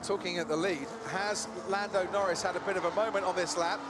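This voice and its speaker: A man's voice: high voice, steady tone, brisk. A man giving live lead commentary on a motor race in an urgent, dramatic way. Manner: urgent, dramatic rhetorical questions. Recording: loud engine and trackside noise behind. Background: race cars and outdoor circuit ambience.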